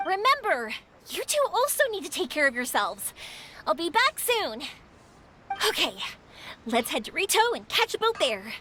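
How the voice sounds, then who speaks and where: A woman's voice: high-pitched, big pitch swings, moderate pace, pauses often, sharp tone, a little hiss or hum, full character voice. A woman voicing a bubbly, friendly young firework maker in a video game, chatty and enthusiastic. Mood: cheerful, excited.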